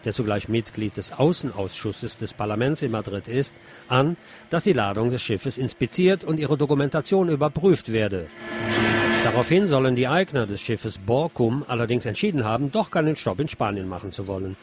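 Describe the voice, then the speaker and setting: A man presenting scripted news on community radio, reading in a measured reporting style with the odd dry aside. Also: mid-range voice, animated.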